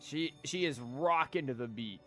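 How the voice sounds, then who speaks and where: A man gives casual live commentary as he plays a game. His voice is mid-pitched, and he talks in slangy, sweary, joking bursts. Music plays faintly in the background. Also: moderate pace, pushed and bright.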